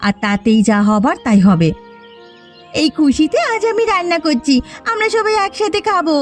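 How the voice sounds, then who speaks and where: Woman acting high-pitched theatrical cartoon village housewife. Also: animated, moderate pace. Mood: scheming glee. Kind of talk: dramatic Bengali cartoon voice acting. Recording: music under the voice.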